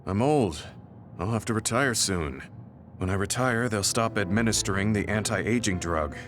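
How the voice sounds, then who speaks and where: An actor playing a weary, remorseful veteran soldier in a video game, speaking in an earnest, apologetic and measured way. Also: male voice, lowish voice, a bit bright, close to an everyday voice. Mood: somber, resigned. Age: older. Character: weary, remorseful veteran male soldier, humble and self-reproachful. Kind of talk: video game English voice acting. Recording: game music behind the voice. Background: game music.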